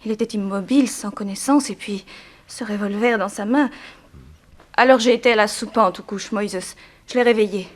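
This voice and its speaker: Young female, played by an actress: mid-pitched, earnest, plaintive orphaned daughter, restrained sorrow, old radio drama style. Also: moderate pace, close to an everyday voice. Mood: subdued, recounting. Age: young adult. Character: sorrowful young daughter of a harsh plantation owner. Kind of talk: 1960s French radio drama acting.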